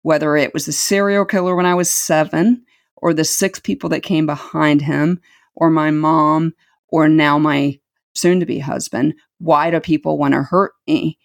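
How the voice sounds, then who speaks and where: A woman's voice: deep voice, fairly even intonation, unhurried, creaky. A middle-aged woman telling her life story as an interview guest, earnest and reflective, repeating words for emphasis.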